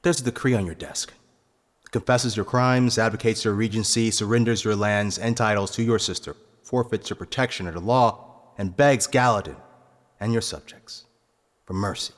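A man playing a vengeful, cold nobleman in a fantasy audio drama, making deliberate, eloquent threats. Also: on the low side, steady tone, close to an everyday voice. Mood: menacing. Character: vengeful, menacing nobleman posing as castle phantom. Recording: long hall reverb.

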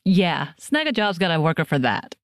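A woman's voice: lowish voice, very animated, normal speed, no echo, clean studio sound. A woman announcer reading a scripted commercial voice-over in an upbeat, conversational way.

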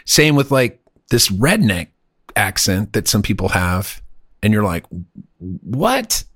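A man's voice: lowish voice, a little slow, dry recording, studio quality. A man chatting casually as a podcast co-host, rambling and acting out other people's voices. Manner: animated, rambling, acts out voices. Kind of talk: casual chat.

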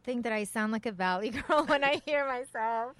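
A woman's voice: a little high, normal speed, crowd noise. A woman from Iran, in her thirties or forties, with a slight hard-to-place foreign accent, chatting informally as an interview guest. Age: 30s or 40s. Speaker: podcast guest. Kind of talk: casual conversational interview.

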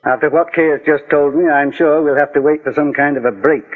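An actor playing a composed, authoritative American private investigator in a 1940s radio drama, speaking formally and deliberately. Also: male voice, on the high side, steady tone, narrow, tinny audio, close to an everyday voice. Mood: firm, confident. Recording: thin, band-limited 1940s radio recording.

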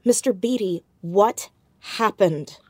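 A woman's voice: medium pitch, slow, dark tone, husky, no room sound. A woman playing a fearless, sceptical teenage girl sleuth in a naturalistic audio drama, probing bluntly with wry directness.

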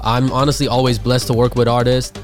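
Man, from Armenia, casual slang, mid pitch, faint music behind. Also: little pitch movement, fairly quick. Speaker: guest recording artist. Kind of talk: conversational interview.

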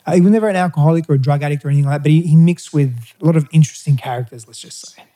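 A middle-aged man, whose was Greek, recounts his past as an interview guest. His voice is mellow and dark, and he talks candidly, often pausing and restarting. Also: on the high side. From Australia. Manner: candid, hesitant, with restarts and fillers. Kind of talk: reflective personal storytelling.

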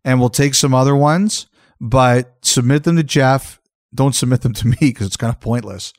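A man's voice: medium pitch, normal speed, no echo. Loose, laughing man on a dry mic. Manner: relaxed, loose and laughing after a few drinks. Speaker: podcast co-host. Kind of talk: casual chat.

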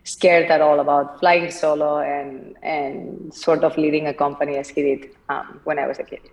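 A Spanish-accented woman talks through her story as an interview guest. Her voice sits low with little rise and fall, and it is a little distant from the microphone. She pauses often with fillers. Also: level tone, a little mellow. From Spain, Barcelona. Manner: thoughtful, many fillers, slow at times. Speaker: company founder, guest. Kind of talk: podcast interview, conversational.

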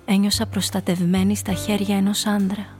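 A woman's voice: medium pitch, level tone, darkish tone, soft background music, close to an everyday voice. An actress playing a frightened female blackmailer who tells her own story intimately in a scripted radio play. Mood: shaken, somber. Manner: intimate, confessional first-person storytelling.

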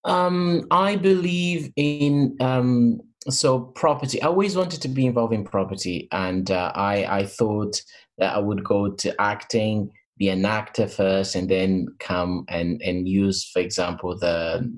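ポルトガル語が母語の男性、とても低い声、インタビューで「えー」と言いよどみながら話す。. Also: male voice, lowish voice, a little slow. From Portugal and Africa. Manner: hesitant, many fillers and restarts. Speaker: interview guest, mentor. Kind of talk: interview answers. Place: in England.

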